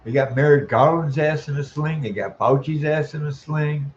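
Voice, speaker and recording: A man ranting in a profane monologue, ending sentences with "man," chuckling, and stalling on drawn-out "uh" sounds. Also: on the high side, highs cut off, a little hiss or hum. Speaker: vlogger.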